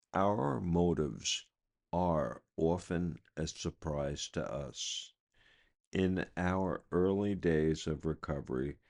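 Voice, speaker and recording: Guy with a really low voice reading a meditation, takes his time, very deliberate. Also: darkish tone. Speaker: guest reader of a daily recovery meditation. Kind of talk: slow read-aloud meditation.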